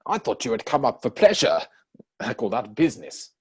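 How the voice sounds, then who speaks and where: A man acting a witty, idle young gentleman from a period comedy. His voice is slightly husky and swings widely in pitch, with arch, playful self-satisfaction in a theatrical reading. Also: wildly swooping pitch, brisk. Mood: arch, teasing. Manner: mannered, arch, theatrical delivery.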